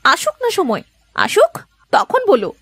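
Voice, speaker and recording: High-pitched nagging village wife played by a woman. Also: wildly swooping pitch, measured pace, fairly bright tone, dry recording, heavily acted. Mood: mocking. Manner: scolding, complaining. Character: nagging, sharp-tongued village potter's wife. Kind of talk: moral-story cartoon dubbing.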